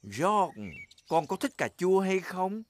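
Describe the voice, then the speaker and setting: A man voicing a kindly old grandpa pig in a children's cartoon, gentle and drawn-out as he talks to little ones. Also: high-pitched, very animated, heavy background noise, exaggerated voice. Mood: patient. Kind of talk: children's cartoon dubbing in Vietnamese.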